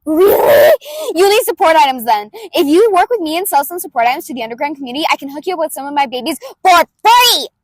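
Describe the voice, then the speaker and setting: A woman voicing a brash, excitable teenage girl inventor in an audiobook, with a loud, harsh voice pushed from the back of the throat. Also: sits high, fast, no room sound, voice pushed up. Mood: thrilled. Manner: exuberant, forceful, bubbly. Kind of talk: audiobook character voice.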